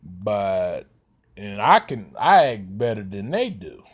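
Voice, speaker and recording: A man, giving casual commentary in a slow, halting way with many fillers. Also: lowish voice, very animated, husky. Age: 30s.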